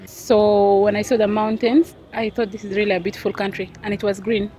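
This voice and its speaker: Young woman, Arabic-English, measured and reflective interviewee. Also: medium pitch, level tone, warm tone, faint music behind, poor audio. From South Sudan. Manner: thoughtful, unhurried, with pauses and fillers. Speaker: street interviewee. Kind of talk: casual interview answers.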